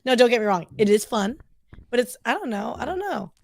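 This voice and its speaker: A woman with a raspy voice chatting over a video call, giggly, often trailing off mid-sentence. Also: fairly high pitch, sing-song, a little fast, no echo, studio quality. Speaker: podcast guest. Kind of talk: casual chat between friends.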